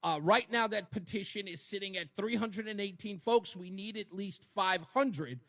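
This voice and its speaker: A man hosting a radio talk show, speaking emphatically and conversationally, with quick interjections of agreement. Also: sits high, fairly bright tone, no echo, studio quality.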